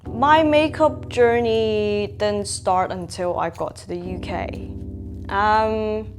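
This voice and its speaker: A woman in her twenties from Hong Kong, talking in a sit-down interview as a makeup artist, pausing often with "um" and laughing between thoughts. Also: mid-range voice. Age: 20s. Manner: reflective, frequent "um" fillers, laughs between thoughts. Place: bright room indoors, seated in armchair. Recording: music playing clearly behind.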